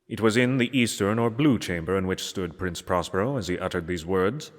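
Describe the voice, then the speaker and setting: Low-voiced solemn male narrator in long reverb. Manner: solemn, theatrical, measured. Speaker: narrator, reader. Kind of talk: dramatic gothic story reading.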